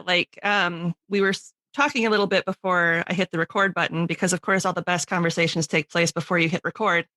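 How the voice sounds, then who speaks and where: A woman's voice: lowish voice, bright, forceful tone, no room sound. A woman hosting a podcast, chatting casually in an enthusiastic, talkative way. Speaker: podcast host.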